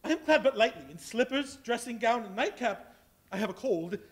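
A man's voice: very high-pitched, animated, normal speed, fairly bright tone, full character voice. A man on stage playing a nervous, sentimental old miser in a Christmas play. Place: stage in a dim auditorium. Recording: live stage recording with music behind.

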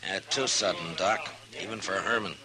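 A man playing a dry, gruff American frontier marshal in a 1950s radio western. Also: low-pitched, moderate pace, a crowd in the background, lightly acted. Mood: serious. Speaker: lead actor. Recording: noisy vintage 1950s radio recording.

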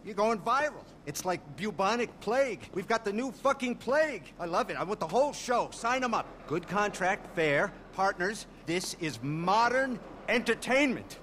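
A manic older male producer from a video game, blustering and vulgar. His voice sits high and jumps all over the place. He fires off ideas in scattered bursts. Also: lively intonation, a bit bright, slight background noise. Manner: rapid-fire, scattered pitches, blustering.

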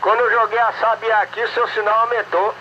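A man's voice: sits high, little pitch movement, brisk, muffled recording, slight background noise. A Brazilian man chatting casually as an amateur radio operator, enthusiastic and folksy, full of slang and jargon. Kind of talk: casual radio contact chat with running commentary.

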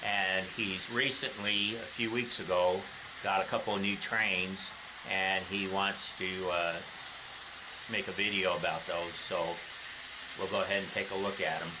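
A middle-aged man in casual chat, relaxed, prompting with questions, in a small room at home. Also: deep voice, measured pace. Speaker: vlogger. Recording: constant background noise.

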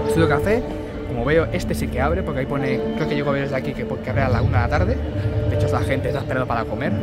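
A man from talking casually to his viewers as he walks and films through a theme park, full of fillers and asides. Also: a little high. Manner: chatty, filler-heavy, addresses viewers directly. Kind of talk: casual walking-tour vlog. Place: outdoors in a theme park, then inside a shop.

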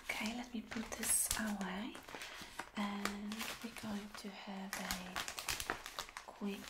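Slow whispering woman, gentle ASMR boss roleplay. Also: medium pitch, background noise. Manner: gentle, reassuring, unhurried. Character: flirty, attentive female boss soothing an employee. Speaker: ASMR performer playing a flirty boss. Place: small dim room indoors.

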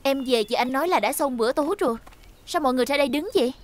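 Cartoon mom, female actor, Southern Vietnamese accent, high pitch, very rapid brisk delivery. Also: natural voice. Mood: puzzled, questioning. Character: brisk, watchful cartoon mother. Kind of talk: dubbed children's cartoon acting. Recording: loud music bed behind dialogue.

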